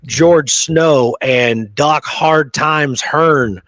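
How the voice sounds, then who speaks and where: A man's voice: on the high side, very slow, a bit bright. A man co-hosting a podcast in casual, slangy conversation, agreeable and informal. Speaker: podcast co-host. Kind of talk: casual chat.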